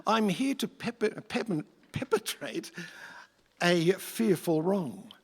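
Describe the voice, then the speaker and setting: A man's voice: sits high, expressive, slow pace, vocal fry, slightly rough, heavily acted. A man acting a fussy, bumbling villain from hell in a rhyming radio play, stammering and theatrical. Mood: blustering. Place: stage in a hall. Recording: hall with long reverb.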